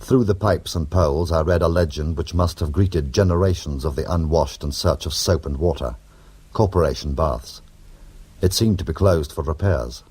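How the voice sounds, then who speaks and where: An actor playing a wry, cheeky criminal in a radio drama, who also narrates with sardonic, glib humour. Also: male voice, a bass voice, normal speed, a little mellow, slightly rough. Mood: wry, atmospheric.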